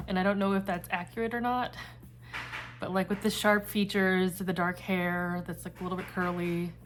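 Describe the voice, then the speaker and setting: A woman's voice: medium pitch, slight background noise. A woman in her thirties chatting casually in a book vlog, meandering and trailing off as she talks. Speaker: book vlogger. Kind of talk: casual chat.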